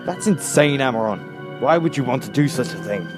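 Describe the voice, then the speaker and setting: A man's voice: medium pitch, animated, moderate pace, lightly acted. A man playing a stern, haughty intelligence agent in an audio drama, speaking in a curt, dry, sceptical way. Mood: alarmed, blunt. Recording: music under the voice.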